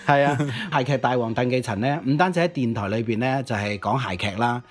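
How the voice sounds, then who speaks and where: A man co-hosting a conversational programme about music history, with a husky voice. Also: mid-range voice, a little fast. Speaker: podcast co-host.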